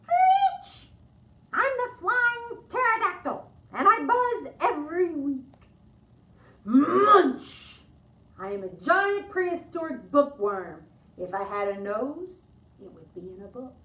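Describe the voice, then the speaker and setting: A woman's voice: sits high, sing-song, slow pace, fairly bright tone, reverberant, dull audio, pitched above the performer's own voice, exaggerated voice. A woman voicing playful, boisterous prehistoric creatures in a storybook, theatrical and exclamatory.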